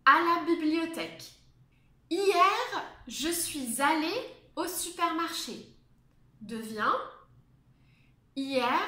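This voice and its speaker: A woman teaching a beginners' French lesson, speaking slowly and clearly and adding English glosses. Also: high voice, bright, forceful tone. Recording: echoey room.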